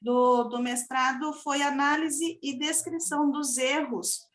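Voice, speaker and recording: A woman's voice: high voice, steady tone, unhurried, fairly bright tone. A woman joining a video call from her phone, apologetic and explaining her research step by step. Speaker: participant, language researcher. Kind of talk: casual video-call chat. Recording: roomy phone audio on a call.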